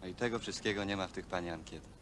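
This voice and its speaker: An actor playing a lonely, bitter young hostel worker in a naturalistic film drama, speaking plainly and haltingly. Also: male voice, low-pitched, close to an everyday voice. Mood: aggrieved. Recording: noisy old film soundtrack.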